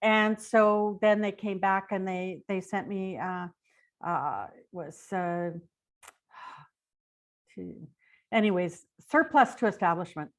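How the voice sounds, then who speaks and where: Older Canadian female interview guest, middle-pitched voice, chatty and anecdotal storyteller. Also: takes it slowly, pauses often. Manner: informal, rambling, anecdotal. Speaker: interview guest, retired police dispatcher. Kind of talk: conversational personal storytelling.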